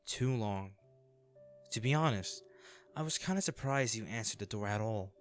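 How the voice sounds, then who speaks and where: A man acting in an audio roleplay as an anxious, self-deprecating young man, a caring old crush who talks haltingly and intimately to the listener. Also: fairly low pitch, lively intonation, faint music behind, natural voice. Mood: soft, wistful. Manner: hesitant, halting, sighing.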